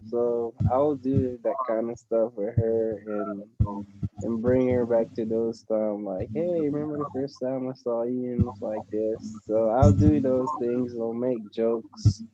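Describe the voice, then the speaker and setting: A man answering casually on a couples panel, informal and halting as he thinks aloud. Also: mid-range voice, fairly even intonation, background noise. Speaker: panel participant, husband. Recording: dull, muffled live event audio.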